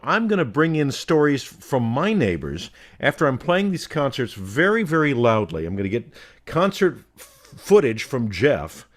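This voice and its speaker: Brief: middle-aged male co-host, resonant carrying voice, lively theatrical delivery, casual chat. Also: mid-range voice, normal speed. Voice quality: resonant voice that carries. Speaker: podcast co-host.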